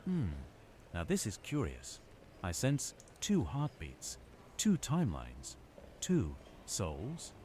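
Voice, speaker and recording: An ancient magical hat, voiced by a man, musing and knowing. The deep voice rises and falls theatrically in an audio drama, over faint noise. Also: huge pitch swings, takes it slowly, dark tone, exaggerated voice.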